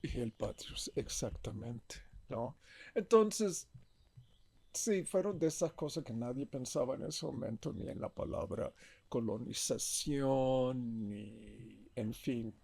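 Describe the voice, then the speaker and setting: A man's voice: mid-range voice, takes it slowly, creaky, mellow, husky, dry recording. A man in his seventies from a Puerto Rican family, talking as a guest in a casual interview, rambling with tag questions and frequent self-corrections. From Puerto Rican family, born in Texas. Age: 70s. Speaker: guest artist.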